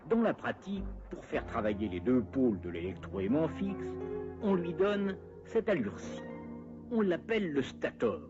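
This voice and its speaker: Lively male explainer narrator, dull recording. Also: on the high side, moderate pace, over music. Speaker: narrator of an educational cartoon. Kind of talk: scripted educational narration with playful asides.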